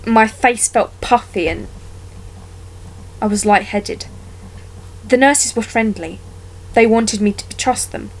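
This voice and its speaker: A woman performing a dramatic monologue as a wounded young woman haunted by a childhood attack, telling it haltingly with deliberate pauses. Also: a little high, poor audio. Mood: pained, subdued.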